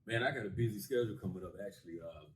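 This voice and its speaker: A man from Las Vegas, a stand-up comedian, chatting loosely and playfully as an interview guest. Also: racing. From Las Vegas, USA. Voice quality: deep voice. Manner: laid-back, chuckling, loose and playful. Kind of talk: casual interview chat. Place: in a moving vehicle.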